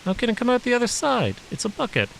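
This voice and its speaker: Storybook child role: a man plays an innocent, curious little boy, pitched well up, plaintive, recorded with clear hiss. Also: wildly swooping pitch, fast talker, exaggerated voice, low-quality recording. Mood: puzzled. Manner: plaintive, simple childlike phrasing.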